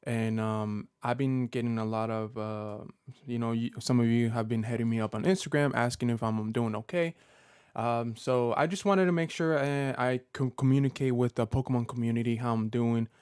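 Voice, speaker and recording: A man in his thirties giving a personal vlog update to his viewers, talking in a halting way with many fillers and restarts. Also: medium pitch, steady tone, darkish tone, smooth, no echo, studio quality. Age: 30s. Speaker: Pokemon content creator.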